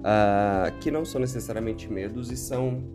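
A Brazilian man with a hoarse voice, hosting a travel podcast in a chatty, upbeat solo talk with playful asides. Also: mid-range voice, moderate pace, music in the background. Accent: Brazilian Portuguese. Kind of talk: casual solo podcast monologue.

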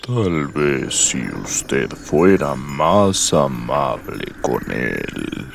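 A very low, heavily creaking old tree for a radio play. It speaks gently and extremely slowly, like a nosy, kindly elder giving advice, a little far from the mic. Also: big pitch swings, slight background noise, exaggerated voice. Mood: gentle advice. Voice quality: deep, slow, gravelly croak. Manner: gentle, unhurried, counselling. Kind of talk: comic radio drama acting. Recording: distant miking with music underneath.

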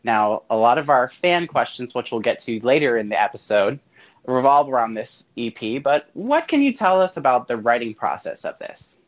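A man hosting a casual role-played interview, talking in halting bursts with frequent restarts. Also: medium pitch, big pitch swings, muffled recording.

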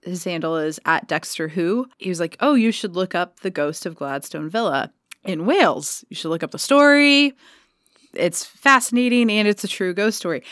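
A woman's voice: on the low side, fairly bright tone, no room sound, studio quality. A woman co-hosting a casual podcast, chatting and reading a ghost story aloud, swearing casually. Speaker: podcast co-host.

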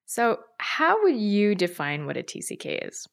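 A woman hosting a podcast and interviewing a guest, speaking calmly and sincerely. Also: mid-range voice, measured pace, gravelly.

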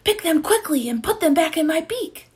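A woman voicing a conspiratorial pelican in a children's story, speaking in an urgent, hushed manner. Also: extremely high pitch, expressive, normal speed, pitched above the performer's own voice.

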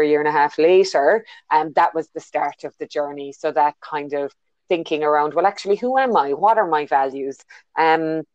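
A woman with an Irish accent, speaking low and reflectively, pausing with ums and restarting her sentences as she thinks aloud. Her voice comes through a dry, close call line that sounds a little dull and muffled. She sounds like a guest on a video call from a quiet bedroom. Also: dry recording. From Ireland. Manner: thoughtful, many fillers and restarts. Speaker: guest, business consultant. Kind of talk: casual interview over a call. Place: bedroom at home.

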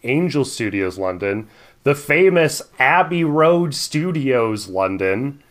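A man cohosting a music podcast, talking casually from his room in a rambling, laid-back way, trailing off quietly. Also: medium pitch, sing-song, takes it slowly. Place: home room.

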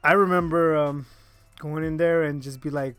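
A man hosting a podcast in casual chat, relaxed and joking, laughing often. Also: a little high, moderate pace, clean tone, no room sound, soft background music. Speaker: podcast host.

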